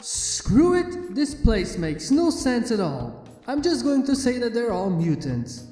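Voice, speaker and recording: A man with a notably high, soft-toned voice narrates a first-person tale. His delivery is wry and theatrical, and music plays underneath the reading. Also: big pitch swings, slow pace, breathy edge. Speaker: fan fiction narrator.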